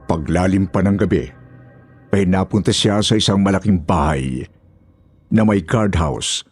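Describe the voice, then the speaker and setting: A man with a husky voice giving scripted narration for a horror story. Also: low-pitched, a little slow, a little mellow, no room sound, faint music behind.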